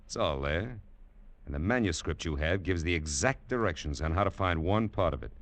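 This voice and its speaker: A man playing a greedy, gruff, scheming treasure-hunting rogue in a 1940s radio drama, pushy and insistent. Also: deep voice, expressive, moderate pace. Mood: greedy, persuasive.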